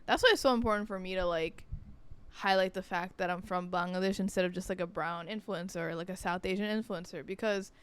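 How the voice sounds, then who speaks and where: A woman's voice: fairly low pitch, fairly even intonation, a little fast, smooth. A young woman, chatting casually and earnestly as a podcast co-host.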